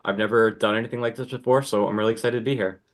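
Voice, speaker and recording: A man's voice: fairly low pitch, fairly even intonation, racing. An American man of twenty-four from New Jersey, casually introducing himself as a contestant. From New Jersey, United States.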